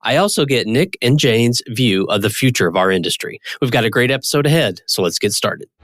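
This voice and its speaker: A man's voice: mid-range voice, expressive, a little fast, a bit bright. A man hosting an interview podcast, reading a polished intro and then asking his guests questions in an upbeat way. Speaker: podcast host.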